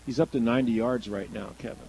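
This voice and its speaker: An American man giving conversational colour commentary on a live radio football broadcast, halting and repeating words as he explains. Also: mid-range voice, steady tone, a little mellow, poor audio. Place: live at a high school football game.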